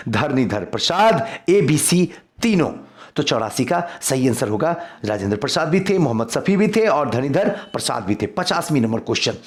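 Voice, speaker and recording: Animated man teaching. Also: on the high side. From India. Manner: instructive, repeats set phrases, addresses students directly. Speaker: exam-coaching history teacher. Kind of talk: quiz lesson, reading questions and giving answers.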